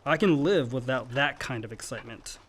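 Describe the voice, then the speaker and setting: An actor playing a dry, self-contained young adult brother in a radio play, with a wry, laconic American delivery. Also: male voice, mid-range voice, animated, brisk, a bit bright, a little hiss or hum, natural voice. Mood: wry, relaxed. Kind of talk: naturalistic radio play acting.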